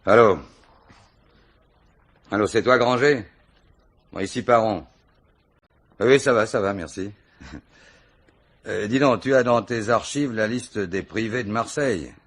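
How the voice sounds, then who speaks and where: An actor playing a gruff, impatient police commissioner who is bossy and teasing with his subordinate, in a French radio crime drama. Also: on the low side, a little slow, long pauses, fairly bright tone. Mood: irritated, brusque.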